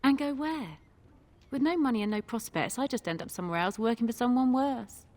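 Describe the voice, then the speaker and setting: An actress playing a weary, resigned tavern barmaid in a video game, with a wry manner. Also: female voice, fairly high pitch, expressive, brisk, a little mellow, close to an everyday voice. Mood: resigned, bitter.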